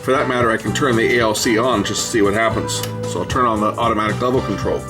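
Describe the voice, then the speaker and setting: Middle aged guy, kinda low voice, chatting thru an electronics demo at his bench. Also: steady tone, fast talker. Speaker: presenter of an electronics repair video. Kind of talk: casual hands-on demonstration commentary. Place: indoors at a workbench. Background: music from tape and player.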